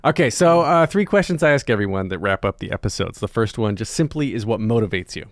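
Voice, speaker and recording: A man's voice: lowish voice, lively intonation, fast talker, no room sound, clean studio sound. A man speaking casually as an interviewer and podcast host.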